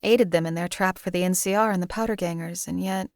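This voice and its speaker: A woman voicing a cold, detached female hired assassin who speaks in a measured, formal, cynical way. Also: lowish voice, steady tone, no echo, studio quality, close to an everyday voice. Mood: cool, detached. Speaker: companion character voice. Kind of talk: game voice lines.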